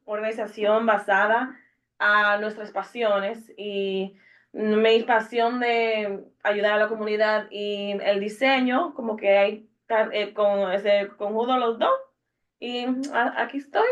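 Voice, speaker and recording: A woman's voice: medium pitch, fairly even intonation, slow. A young woman introducing herself casually on a podcast, hesitant and full of fillers and restarts. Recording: dull lo-fi podcast audio.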